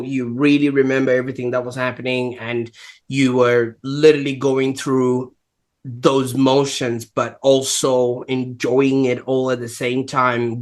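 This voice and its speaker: A man hosts an interview show in a steady, measured way. He encourages his guest and sums up her points for the audience. His voice sits at an ordinary middle pitch for a man. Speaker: podcast host. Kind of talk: interview hosting.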